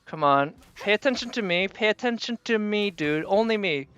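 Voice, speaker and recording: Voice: medium pitch, animated, moderate pace, fairly bright tone, clean tone, no echo, muffled recording. A game livestreamer giving casual, off-the-cuff commentary while playing, talking to themself and swearing mildly. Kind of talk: casual live gameplay commentary.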